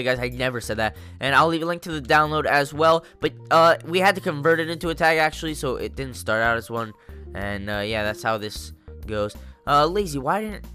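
A young man with a congested, stuffy voice giving excitable, jokey gameplay commentary to his viewers. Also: on the high side. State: congested from a cold. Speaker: gaming YouTuber.

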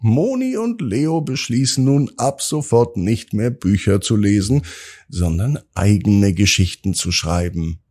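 A man narrating a scripted bedtime story for children, with gentle, drawn-out phrasing and dramatic pauses. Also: lowish voice, expressive, slightly rough. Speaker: children's podcast host and storyteller.

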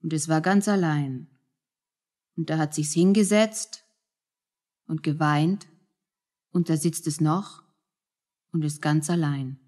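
Slow, sorrowful storytelling grandmother. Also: low voice, fairly even intonation, mellow. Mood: bleak, sorrowful. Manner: measured, plain storytelling. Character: grim, sorrowful grandmother telling a bleak fairy tale. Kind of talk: radio play storytelling.

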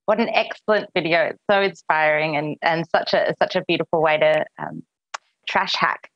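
A woman's voice: deep voice, normal speed, no echo, highs cut off. A woman moderating an online webinar, friendly and a little hesitant, with frequent ums as she introduces guests.

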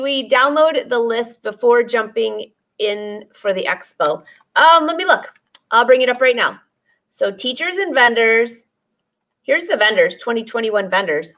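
A woman's voice: a little high, fairly bright tone. A woman in her forties, a sewing teacher chatting casually on a live stream, reading viewer comments aloud and laughing often. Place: sewing studio with dress forms, indoors.

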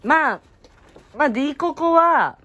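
A woman's voice: high voice, animated, slow, sharp tone. A woman co-hosting a family channel, casually chatting while unboxing anime goods and recounting each item.

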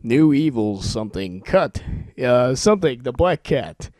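An amateur male voice talent with a mellow tone and animated pitch. He sounds informal and amused with himself, as if fooling around on a homemade demo. The recording is close and dry. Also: a little slow, dry recording. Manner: joking, self-amused, informal. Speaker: amateur making a voice-over demo. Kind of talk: casual chat and rapid-fire list reading.

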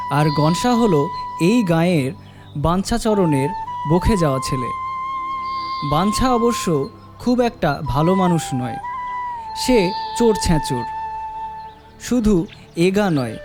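A man reading a scripted story as its narrator. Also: a little high. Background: music.

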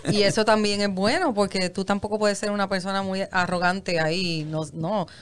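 A woman's voice: mid-range voice, fairly even intonation, normal speed, slight background noise. A woman moderating a casual studio talk show, chatty and encouraging, mixing English words into her Spanish. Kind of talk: casual radio-show chat. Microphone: studio mic on boom arm, headphones.

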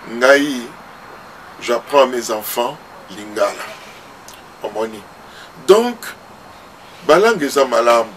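A man's voice: medium pitch, fairly bright tone, recorded from a distance, slight background noise. A middle-aged man with a Congolese accent, a pastor speaking earnestly to the camera and moving between French and Lingala. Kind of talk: talk to camera. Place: outdoor porch by a garden.

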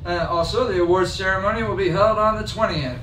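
A man's voice: sits high, a little fast, a bit bright. A man playing a glib, callous boss giving his staff a chirpy pep talk at an amateur table read. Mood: peppy, callous. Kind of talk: amateur table read of a dark comedy script. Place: home dining room, table read. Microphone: far camera. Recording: distant mic, echoey room, faint noise.

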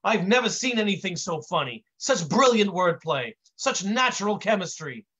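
A man's voice: sits high, big pitch swings, normal speed, sharp tone, slightly rough, voice pushed up, exaggerated voice. A man voicing an irascible, blustering talent agent boss, with emphatic delivery, in a comic story read aloud. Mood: delighted, excited.

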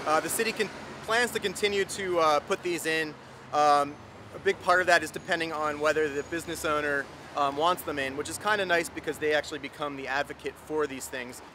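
A man's voice: fairly high pitch, brisk, pushed and bright, a little hiss or hum. A man who advocates for cycling, talking casually with "um" fillers, on camera outdoors on a city street. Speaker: bike advocate.

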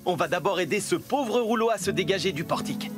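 A man playing a cheerful, reassuring builder in a French-dubbed children's cartoon, expressive and encouraging. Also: sits high, quick pace. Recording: loud music bed behind.

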